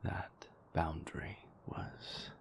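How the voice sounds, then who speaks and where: An actor playing a remorseful, formal and guarded vampire boyfriend in an intimate roleplay audio, halting and careful, with a breathy, gravelly low voice. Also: male voice, whispered. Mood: confessional, halting.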